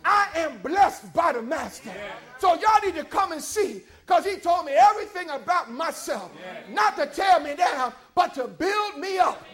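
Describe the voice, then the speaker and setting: A man with a strikingly high voice delivers a sermon. He builds in rhythmic waves, often shouting his lines out to the congregation. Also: lively intonation, moderate pace. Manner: rising into shouted, rhythmic preaching. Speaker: preacher.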